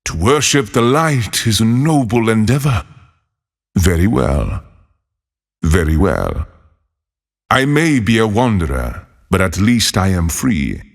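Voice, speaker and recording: A grim exiled desert wanderer who wields fire for a game. His voice is low, gravelly and husky, and he draws out every line very slowly. His pitch swings widely between words, and his manner is solemn with a dry wit. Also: sing-song, in a large hall, exaggerated voice. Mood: wry. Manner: slow, measured, solemn delivery with dry wit. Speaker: game character voice actor. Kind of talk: game voice lines.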